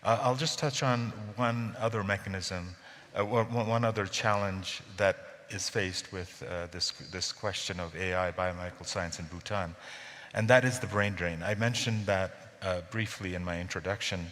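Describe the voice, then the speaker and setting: Low-voiced man in an echoing hall. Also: normal speed, fairly bright tone. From Bangladesh. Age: middle-aged. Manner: hesitant, many fillers and restarts. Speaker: panelist. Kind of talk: panel discussion. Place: conference hall. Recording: reverberant conference hall.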